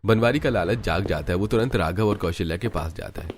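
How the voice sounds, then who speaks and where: A man's voice: deep voice, a little fast, slight background noise. A man narrating a cartoon family story in a scripted storytelling style.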